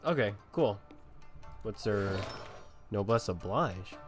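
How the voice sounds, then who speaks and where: A woman's voice: very deep voice, expressive, takes it slowly, music in the background. A woman streaming a game, giving casual running commentary as she plays.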